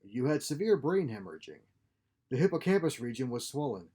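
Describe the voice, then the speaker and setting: A man's voice: medium pitch, sing-song, brisk, mellow, slightly rough. A man voicing a glib, scheming exiled scientist in a science fiction story, theatrical in delivery. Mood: wry, confident. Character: exiled male scientist, wry, scheming, feigning desperation.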